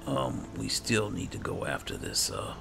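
A man's voice: on the low side, huge pitch swings, a little slow, music in the background. A man giving casual roleplay commentary on a video game, muttering to himself in short bursts. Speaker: gamer, video host. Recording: clearly noisy, game sound behind.

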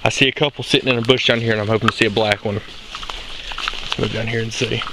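A young man with a lowish voice talks through a woodland hike in an easy, explaining manner. The handheld camera catches him outdoors with clear noise from brush and footsteps around him. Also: a little fast. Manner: relaxed, explains as he walks. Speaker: outdoor channel host. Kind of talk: casual hiking narration. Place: outdoors in a forest.

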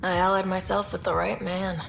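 An actress playing a guarded, dry-humored young space explorer in a game, speaking directly. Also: female voice, lowish voice, little pitch movement, moderate pace, creaky, slight background noise. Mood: dry, friendly. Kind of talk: cinematic game dialogue acting.

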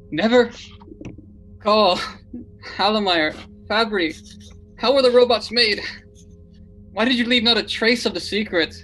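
A man playing the weary, despairing last human in a radio drama, speaking in a halting, brooding way. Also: sits high, a bit bright, rough recording.

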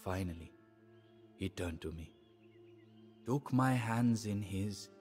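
An actor playing a sorrowful, devout adviser to an Indian emperor, narrating the story in a solemn, measured, theatrical way for a game. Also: male voice, on the low side, darkish tone, faint music behind. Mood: sorrowful, hushed. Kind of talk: dramatic scripted storytelling narration.

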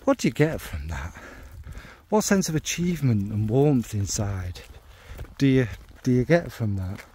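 A man's voice: medium pitch, very animated, measured pace, husky. A man chatting casually about his fragrance hobby while out walking the dog, rambling and wry as he questions himself. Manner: thinking out loud, wry and self-questioning. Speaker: fragrance video maker. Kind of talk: rambling walk-and-talk chat. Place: outdoors on a dog walk in the rain.

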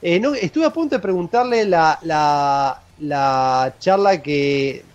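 A man, hosting a live show from a home studio and talking in a halting way with many restarts. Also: fairly high pitch, animated. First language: Spanish. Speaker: interviewer and show host. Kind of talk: casual chat on a live show. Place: home studio with records on shelves. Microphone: studio mic on boom arm, video call. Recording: dull lo-fi video call audio.

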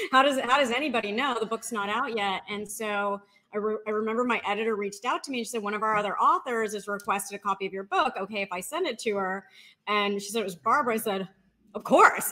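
A woman's voice: on the high side, sharp tone. A woman speaking casually as a guest author in an interview over a video call. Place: indoor room with bookshelves, video call.

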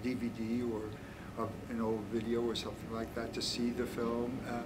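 Halting older man, flat and distant. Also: mid-range voice. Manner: halting, deliberate phrasing. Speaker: author and scholar. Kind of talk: informal explanatory talk. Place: small indoor meeting room. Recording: noisy room, distant mic.

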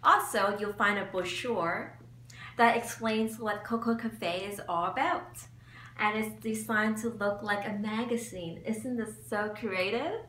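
A woman's voice: mid-range voice, measured pace, fairly bright tone, far from the mic. A cheerful young woman presenting a beauty vlog in upbeat scripted narration, filmed against a plain wall in a small room. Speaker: beauty vlogger. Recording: echoey room, mic set back, faint hum.

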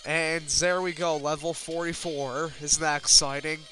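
A man's voice: on the high side, fairly even intonation, unhurried, bright, forceful tone, a little hiss or hum. A man giving casual, rambling video game commentary in a self-deprecating way, with casual swearing. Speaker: let's player. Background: video game music.

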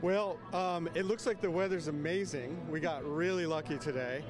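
A man speaking calmly and thoughtfully as a guest in a live TV interview outdoors at a festival. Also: a little high, moderate pace, over music.

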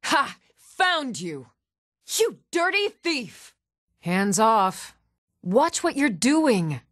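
An actress playing a steady, firm young woman in a fantasy video game, speaking with measured, earnest delivery. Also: fairly high pitch, no room sound, clean studio sound, exaggerated voice. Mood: alarmed. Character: even-toned young adult woman of a fantasy world, steady and stern.

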